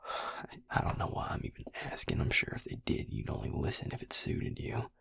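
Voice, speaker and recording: A man playing a protective, exasperated vampire in an intimate audio roleplay, speaking slowly and wryly in a low, breathy near-whisper. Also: a bit bright, lightly acted. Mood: exasperated, scolding. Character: protective, exasperated male vampire tending a wounded werewolf. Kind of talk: intimate audio roleplay acting, addressed to the listener.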